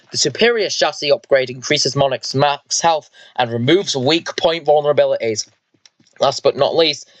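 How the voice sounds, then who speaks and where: A man's voice: mid-range voice, fairly bright tone, dry recording, close to an everyday voice, poor audio. A man voicing an eager, vengeful squad leader and mech pilot in an amateur sci-fi story, informal and explanatory. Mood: instructive.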